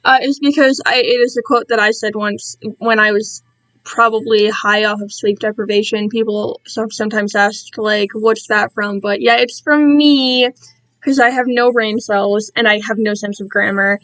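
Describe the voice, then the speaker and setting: A woman's voice: fairly high pitch, steady tone, normal speed, fairly bright tone, no echo, low-quality recording. A woman chatting casually to viewers on a live stream, rambling and self-deprecating, easily drifting off track. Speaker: streamer reading own fan-written work to viewers.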